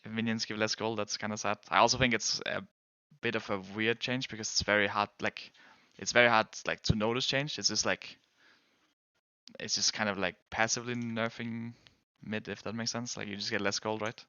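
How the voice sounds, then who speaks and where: Crisp bright-voiced Danish-native male streamer. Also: lowish voice, steady tone, normal speed, no echo, dull audio. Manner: rambling, hesitant, restarts sentences. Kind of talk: casual chat with viewers while gaming.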